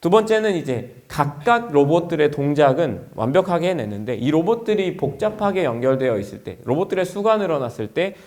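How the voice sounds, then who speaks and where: A man delivers a product presentation in the manner of a company executive at a forum. His voice sits fairly high for a man and moves with very lively intonation. He explains things clearly, point by point, for a business audience. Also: fairly quick, hardly any pauses.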